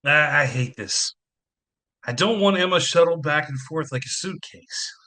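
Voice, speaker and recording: A man acts out a stressed father going through divorce in an audio play. His voice is bright and a little high. He is anxious but earnest, with a dry, self-mocking streak. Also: measured pace. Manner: self-deprecating, wry, earnest. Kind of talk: community theatre audio play acting.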